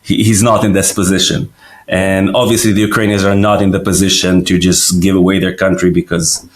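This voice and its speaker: Brief: male founder from Israel, interview guest, halting, lowish voice. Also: little pitch movement. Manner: hesitant, many fillers and restarts. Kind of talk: casual interview answers.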